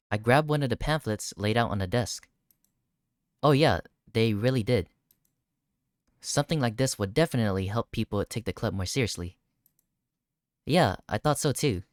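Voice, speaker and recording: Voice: mid-range voice, no room sound, studio quality. A gaming streamer reading visual novel text aloud during a playthrough, breaking into startled reactions. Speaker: video game streamer.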